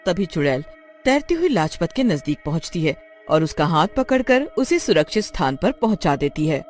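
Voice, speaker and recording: A story narrator reads with lively, swinging intonation. The delivery is scripted and dramatic, at a medium pitch. Faint music plays behind it. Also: moderate pace.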